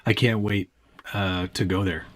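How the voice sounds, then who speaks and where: A man's voice: sits low, steady tone, unhurried, fairly bright tone. A man hosting a casual live stream, teasing his guest and reading viewer comments aloud.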